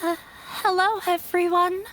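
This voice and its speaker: A shy, slow-spoken high-voiced girl. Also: level tone, a little hiss or hum, poor audio. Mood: nervous. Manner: timid, halting, awkward. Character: shy, nervous young girl dancer, hesitant daughter. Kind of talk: anime game voice acting. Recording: loud background music over the voice.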